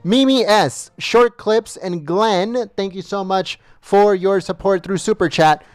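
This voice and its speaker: A man's voice: high-pitched, measured pace, dry recording, clean studio sound. A man hosting a livestream, reading out viewer names and thanking each in turn while losing his voice, with small stumbles over names. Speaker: livestream host.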